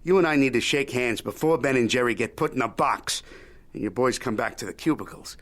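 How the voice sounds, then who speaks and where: An actor playing a shrewd, folksy old media mogul in an audio drama, haggling in a wry, needling, Yiddish-peppered way. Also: male voice, mid-range voice, fairly quick, fairly bright tone, close to an everyday voice. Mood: scheming. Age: older.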